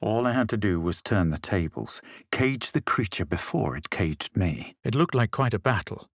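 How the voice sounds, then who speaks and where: A haughty young adventurer for a fantasy video game. His voice sits low with a slightly rough edge, and the recording is dry. He delivers his lines with measured, superior, dramatic aloofness. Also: expressive, no room sound. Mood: aloof. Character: haughty young adventurer hero, male, self-assured and superior. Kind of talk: video game character lines and storytelling narration.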